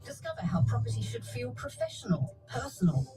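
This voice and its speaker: A woman reads a radio advertisement with an upbeat, polished sales delivery. Her pitch moves a lot and sits a little high. Music plays clearly under her voice. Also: big pitch swings, brisk, warm tone, poor audio. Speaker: advertisement voice-over. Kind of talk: scripted radio ad read.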